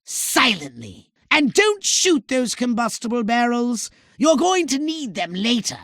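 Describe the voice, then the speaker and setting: A man voicing a haughty, scheming little alien commander in a video game, with a shrill nasal voice and pompous, sardonic, wordy delivery. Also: big pitch swings, a little slow, a bit bright, no room sound, studio quality, exaggerated voice. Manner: pompous, verbose, sardonic, exclaiming dramatically. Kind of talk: theatrical video game voice acting. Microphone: close, dry studio microphone.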